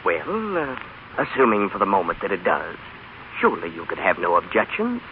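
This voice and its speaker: An actor playing a polite, formal lawyer who persuades with hesitant diplomacy in a 1930s radio drama. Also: male voice, low voice, huge pitch swings, moderate pace, exaggerated voice. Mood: careful, persuasive. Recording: scratchy, tinny old radio broadcast.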